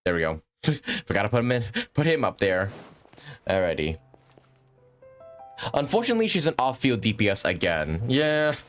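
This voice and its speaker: A man's voice: fairly low pitch, very animated, moderate pace, pauses often, faint music behind. A man hosting a livestream with casual, rambling gaming commentary and frequent chatty asides. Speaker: livestream host.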